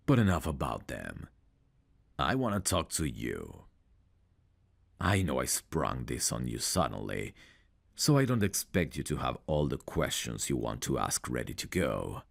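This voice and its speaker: A man playing a big, confident, flirtatious champion fighter in an intimate ASMR audio roleplay, speaking in a deliberate, teasing manner. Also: rumbling low, big pitch swings, creaky, dry recording, exaggerated voice. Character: big confident champion fighter, smooth, flirtatious, guarded about his past. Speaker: voice actor.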